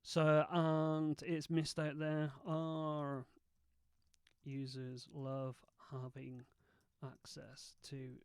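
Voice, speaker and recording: A man hosting a video, casually thinking aloud as he tests software and mutters asides to himself. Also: fairly high pitch, monotone, drawn-out words, clear, resonant tone, no room sound, clean studio sound. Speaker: video host testing software.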